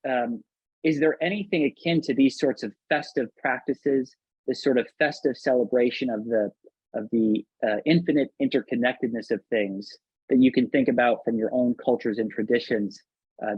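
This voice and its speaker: A man with a husky voice moderates a scholarly panel discussion. He speaks haltingly, doubling back on words as he builds his points, and the recording is dull and lo-fi. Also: mid-range voice. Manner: halting, hesitant, repeats words mid-sentence. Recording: muffled, roomy, lo-fi sound.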